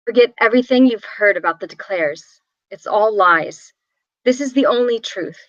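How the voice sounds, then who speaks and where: A woman's voice: mid-range voice, moderate pace, a bit bright, no room sound, lo-fi sound, close to an everyday voice. A woman reading the part of a haunted young woman who reflectively narrates her family's tragic story at a script table read.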